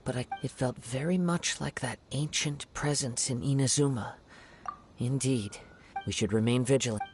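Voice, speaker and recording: A man voicing a calm, analytical young male investigator in a video game, speaking in a measured, formal, deductive manner. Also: mid-range voice, noisy background, lightly acted. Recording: game voice with music behind. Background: game background music.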